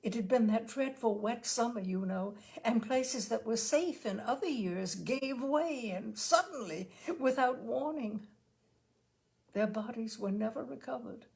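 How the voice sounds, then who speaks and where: A woman's voice: on the high side, unhurried, gravelly, a little mellow. A woman voicing a self-possessed fifteen-year-old girl from a storybook, with a poised, confiding, dramatic manner. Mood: grave, dramatic.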